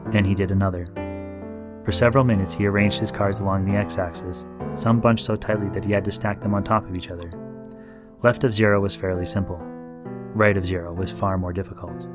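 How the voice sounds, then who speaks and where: A man's voice: deep voice, steady tone, fairly quick, music in the background. A man reading scripted fiction as an audiobook narrator.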